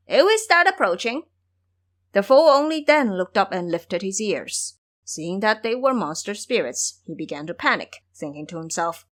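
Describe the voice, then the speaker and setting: Animated woman storyteller. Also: medium pitch. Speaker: narrator of an audio drama series. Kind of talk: scripted dramatic storytelling narration.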